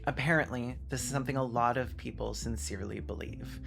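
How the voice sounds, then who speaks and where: A man's voice: mid-range voice, steady tone, fairly quick, gravelly, fairly bright tone, soft background music. A man hosting a video essay with sarcastic commentary, conversational and mock-dramatic in his delivery.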